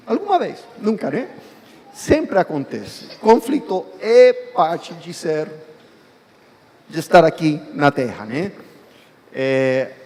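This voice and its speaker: A man preaches to a congregation in a large, reverberant church hall. He speaks in a slightly higher voice, and his tone stays conversational. He often turns to ask the listeners questions. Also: darkish tone. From United States. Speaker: guest preacher. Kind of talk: sermon.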